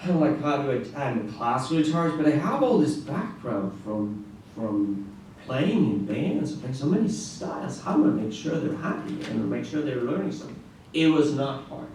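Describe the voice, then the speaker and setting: A middle-aged man from Norway giving a conference talk as a classical guitarist, telling stories in an animated way with frequent restarts and "right?" asides. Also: mid-range voice, moderate pace. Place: large echoey conference room. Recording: echoey room, distant mic, faint noise.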